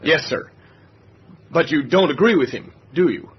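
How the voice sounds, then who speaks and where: A man's voice: a little high, expressive, normal speed, husky. An actor playing an eager, nosy young male navy yeoman in a radio drama, deferential yet cheeky. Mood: respectful, pushy. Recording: dull, lo-fi radio drama sound.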